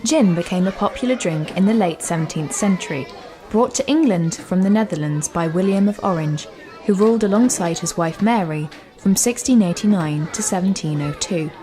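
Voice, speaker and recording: Low, mellow woman narrator. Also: expressive, moderate pace, soft background music, a faint crowd. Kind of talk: scripted documentary narration.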